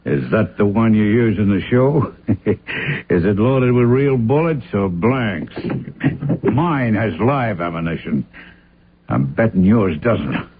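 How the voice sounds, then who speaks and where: Raspy killer crook, pitch jumps around, tinny old radio crime show. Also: male voice, animated, slow pace, a little hiss or hum. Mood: menacing, taunting. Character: cold-blooded murderous male drug smuggler, menacing. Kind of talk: radio crime drama acting.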